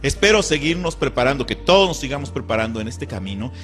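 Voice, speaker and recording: Earnest preaching man, lively voice. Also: medium pitch, fast, faint music behind. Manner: earnest, indignant, quotes scripture. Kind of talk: scripted sermon-style commentary.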